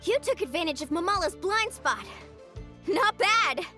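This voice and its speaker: A woman playing a spirited, expressive young woman in a fantasy video game. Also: very high-pitched, unhurried, heavily acted. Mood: fired up. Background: music.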